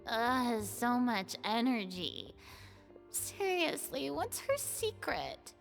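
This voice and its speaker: A woman's voice: on the high side, sing-song, takes it slowly, vocal fry, soft background music, heavily acted. A woman playing a proud young stage star in a video game, tired and groggy, with a theatrical, grumbling manner. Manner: theatrical, grumbling, self-important.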